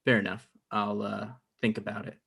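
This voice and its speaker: A man's voice: on the low side, flat delivery, moderate pace, gravelly, a bit bright, husky, full character voice. Defensive, petty struggling writer played by a man. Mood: hesitant. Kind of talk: comedy script table read.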